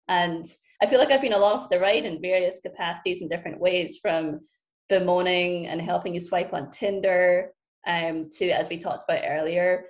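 Scottish woman, 30s, low-ish voice, blunt and witty guest, echoey room. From Scotland. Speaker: podcast guest. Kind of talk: casual podcast chat. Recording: roomy echo.

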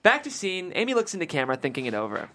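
A low-voiced woman reads stage directions at a table read. Her pitch moves widely as she emphasizes each screen cue and caption. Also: big pitch swings, rapid-fire, fairly bright tone. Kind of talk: script read aloud at a table read.

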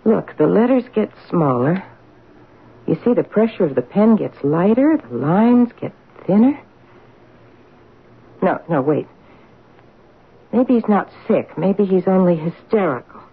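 Devoted wry wife, 50s, old radio drama; expressive swings in pitch, thin band-limited sound. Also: female voice, measured pace, long pauses, husky, narrow, tinny audio, exaggerated voice. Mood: intent, wondering. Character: devoted, wry middle-aged detective's wife, keen amateur graphologist.

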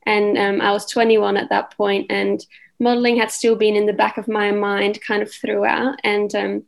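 A Dutch-born woman in her twenties, with a mixed Australian and London accent, chatting relaxed as a podcast guest with many ums. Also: medium pitch, moderate pace, gravelly. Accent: Australian-English mix. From Netherlands. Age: 20s. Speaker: guest model. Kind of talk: casual interview chat.

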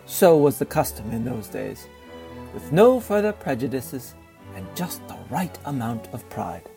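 A man's voice: mid-range voice, very animated, measured pace, vocal fry. A man narrating as host in a scripted, old-time radio style. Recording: music under the voice.

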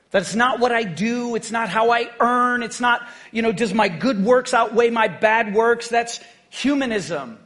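Male pastor, high-pitched, chatty joking sermon delivery. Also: a bit bright. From United States. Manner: conversational, joking, asks the congregation "right?".

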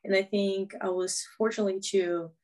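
A woman's voice: medium pitch, flat delivery, normal speed, a little mellow. A woman in her twenties from Brazil, a footballer, chatting as a podcast guest in English learned as a second language, with small grammar slips and easy laughs. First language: Portuguese. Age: 20s. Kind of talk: casual interview chat.

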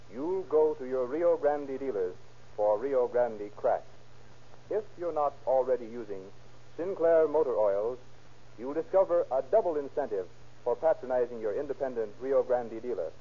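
Husky 1930s American radio announcer man. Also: medium pitch. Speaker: announcer and narrator. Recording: muffled 1930s radio recording.

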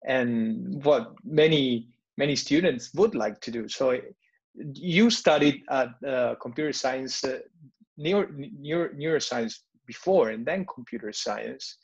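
Halting young European man, gravelly voice. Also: medium pitch. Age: young adult. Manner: halting, repeats words. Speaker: interviewer, computer science student. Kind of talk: interview questions. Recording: dull lo-fi sound.

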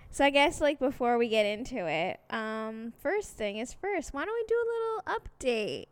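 A woman's voice: fairly high pitch, very animated, moderate pace, clean tone. A woman in her twenties co-hosting a casual chat podcast, laid-back and rambling with many fillers. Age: 20s. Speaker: podcast co-host.

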